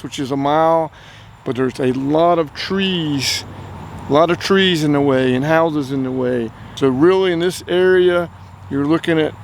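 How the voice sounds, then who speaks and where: A man with a North Carolina accent hosting a casual outdoor radio test, talking in a relaxed, chatty way and explaining as he goes. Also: on the high side, slight background noise. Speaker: outdoors channel host. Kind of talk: casual gear-test vlog. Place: outdoors by a car.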